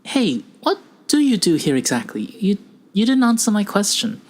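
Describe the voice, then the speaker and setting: A man's voice: sits high, huge pitch swings, moderate pace, pitched above the performer's own voice, exaggerated voice. A man voicing a jittery, indignant junior researcher who talks in fretful, stammering questions. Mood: agitated.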